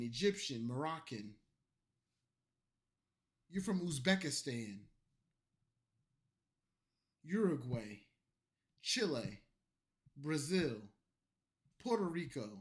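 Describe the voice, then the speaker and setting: A man streaming late at night, tipsy from alcohol and an energy drink, delivering a loud, profane, rambling rant at his chat. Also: fairly high pitch. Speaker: streamer reacting to clips.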